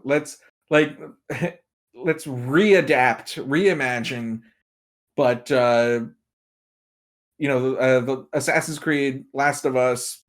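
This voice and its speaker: A man chatting casually and giving emphatic opinions as a podcast guest, repeating points for effect. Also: mid-range voice. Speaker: podcast guest, film and game pundit.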